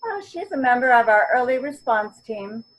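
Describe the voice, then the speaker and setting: A woman's voice: a little high, very animated, moderate pace, highs cut off. A woman playing a stubborn, matter-of-fact elderly mother in a staged reading of a comic sketch.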